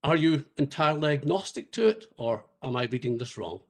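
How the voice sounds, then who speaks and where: A man runs a cross-examination, asking his questions politely and deliberately. His voice sits at a middling pitch for a man and he speaks plainly. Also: lively intonation.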